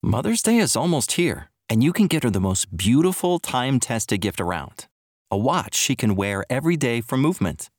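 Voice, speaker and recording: Male ad reader, medium pitch, very varied intonation, scripted promo, dry close sound. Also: big pitch swings, moderate pace, dry recording. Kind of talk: scripted podcast ad read.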